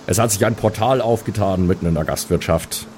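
Cleric for a fantasy audio play played by a man: lower register, weary, measured, grudging, mildly exasperated. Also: a little mellow, a little hiss or hum, natural voice. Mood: grudging pleading. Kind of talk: pen-and-paper audio play role-playing.

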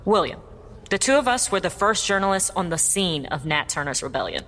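Female news interviewer, very animated intonation, earnest, measured, formal, long reverb, dramatized broadcast. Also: lowish voice. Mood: earnest, inquiring.

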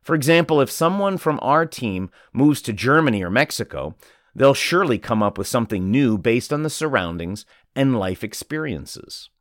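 A man reading a feature article aloud in a measured narration. His pitch moves a lot as he reads. The sound is dry and close, with no room around it. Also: animated, dry recording. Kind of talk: scripted narration.

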